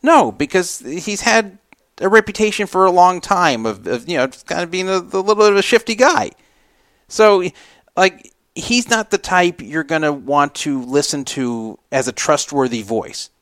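A man's voice: sits high, moderate pace, fairly bright tone, no echo, studio quality. A man hosting a casual talk show, giving rambling, opinionated commentary and repeating himself for emphasis. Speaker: podcast host.

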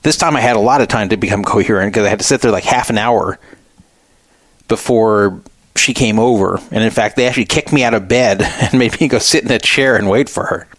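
A man's voice: on the low side, a little fast, fairly bright tone, no echo. Middle-aged American man, casual dry-room talk. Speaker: radio show host. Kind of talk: casual personal storytelling.

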